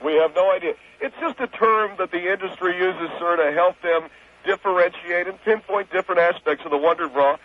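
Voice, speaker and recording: A prissy middle-aged fashion expert, fussy and smug, in a high voice, as a man puts him on. He over-explains everything. The sound is thin and phone-like. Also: brisk, natural voice. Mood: condescending. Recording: tinny phone-like line.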